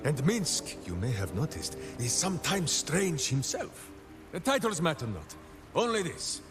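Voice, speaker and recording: Big earnest ranger, grandiose third-person talk, slow and highly animated, game dialogue over music. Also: male voice. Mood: hearty, affectionate. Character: hearty, simple-hearted, earnest hulking male ranger warrior. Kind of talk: theatrical video game dialogue.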